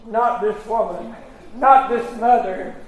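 A man's voice: high voice, slow pace, highs cut off. A male preacher delivering an impassioned revivalist sermon, emphatic, stretching out words and punctuating phrases with "uh". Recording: echoey, roomy live sound.

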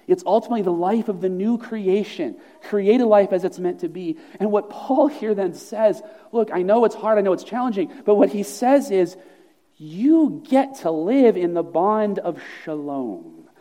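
A man's voice: high-pitched, dark tone. A man preaching an earnest, emphatic sermon with repeated phrases, then leading a closing prayer in a church sanctuary. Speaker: pastor. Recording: long reverb of a large hall.

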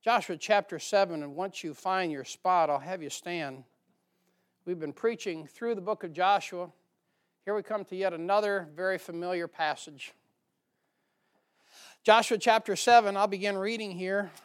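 Male preacher, American accent, high clear voice, middle-aged, folksy, informal sermon delivery with scripture reading. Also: moderate pace. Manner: informal, folksy asides to the congregation. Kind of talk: church sermon with Bible reading.

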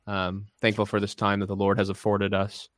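A man's voice: on the low side, monotone, fairly quick, fairly bright tone, dry recording, clean studio sound. A man hosting a podcast interview, welcoming his guest in a friendly, formal way with many fillers. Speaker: podcast host.

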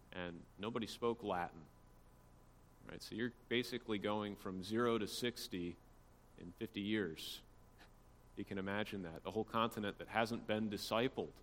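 A man's voice: lowish voice, a bit bright. A man giving an informal church history lecture, explaining things conversationally with casual asides. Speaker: church teacher. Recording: long hall reverb, faint background noise.

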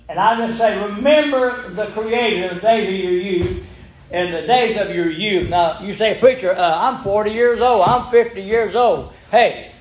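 A man, preaching a folksy sermon and calling out for amens. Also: high-pitched. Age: 70s. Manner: folksy, emphatic, calls for amens. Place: church sanctuary. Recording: very echoey church room.

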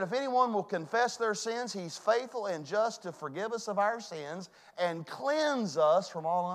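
A man preaches to his congregation. His voice sits high for a man. He rises to loud, ringing calls, then drops to hushed, earnest asides. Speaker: pastor. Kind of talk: sermon. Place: church.

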